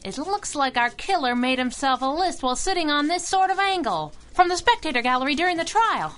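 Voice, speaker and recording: A woman's voice: high-pitched, sing-song, brisk, a bit bright. A woman playing a plucky, loyal young sidekick in a 1930s-style radio serial, earnest and slangy. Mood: urgent.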